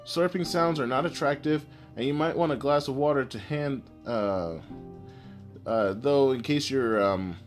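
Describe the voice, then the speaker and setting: A man's voice: mid-range voice, unhurried. A man with a mid-range voice hosts an informal advice podcast. He explains things in a conversational, instructive manner, partly following notes and partly speaking off the cuff. Guitar music plays behind his voice. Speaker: podcast host.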